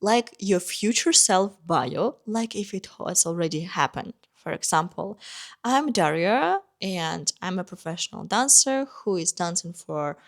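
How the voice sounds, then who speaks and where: A woman's voice: fairly low pitch, expressive, unhurried, gravelly. A young woman, a dancer and teacher, giving an earnest, encouraging talk to the camera in short listed phrases. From Kazakhstan. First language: Russian.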